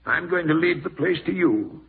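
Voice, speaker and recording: On a thin, narrow-band old radio recording, a stern, ailing old uncle who owns a farm. His voice is very husky and rasping, with wide swings of pitch. He speaks in a deliberate, folksy, commanding way, in the style of 1940s radio drama. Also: lively intonation, exaggerated voice. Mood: solemn, confiding. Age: older. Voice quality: gravelly, husky.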